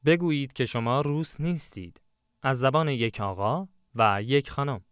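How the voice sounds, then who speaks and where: A man narrating a language lesson, giving clear, instructive prompts in a scripted style. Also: medium pitch, very fast, a bit bright, no room sound, studio quality.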